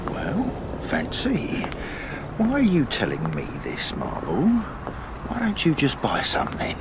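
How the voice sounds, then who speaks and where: A wry British betting man in a period radio play. He is wary and teasing, with hugely varied intonation and a colloquial, sardonic turn. Background ambience is clearly audible. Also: a little high, wildly swooping pitch, unhurried, heavy background noise, full character voice. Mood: wary, shrewd. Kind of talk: period audio drama acting.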